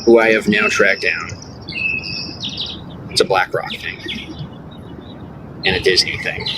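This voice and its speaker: Man, low-pitched, rambling and insistent, lots of ums and restarts; outdoor noise, birds and traffic behind. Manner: meandering, full of fillers and restarts; earnest, insistent. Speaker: vlogger. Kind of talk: rambling personal monologue. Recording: clear background noise with birdsong and passing traffic.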